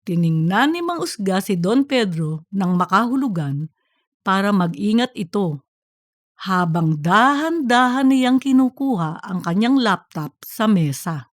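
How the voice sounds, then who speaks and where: A woman's voice: mid-range voice, big pitch swings, a little slow, dry recording, clean studio sound. A woman narrating a fictional story from a script, like an audiobook.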